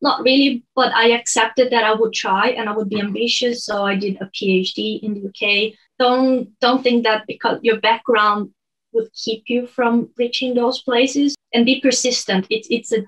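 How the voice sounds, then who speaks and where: A woman in her thirties with a Brazilian Portuguese accent and a fairly high voice speaks over a video call. Her English is measured and earnest, with the odd restart as she explains her work. She sounds like she's at home in her bedroom. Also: steady tone, poor audio. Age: 30s. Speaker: guest, online lecturer.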